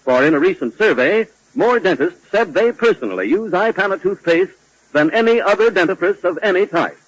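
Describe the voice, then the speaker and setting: A man delivers a formal, emphatic 1940s radio advertisement. His voice is fairly high with a husky edge. The recording is dry and dull, like old radio. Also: lively intonation, a little fast, no room sound. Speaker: commercial announcer.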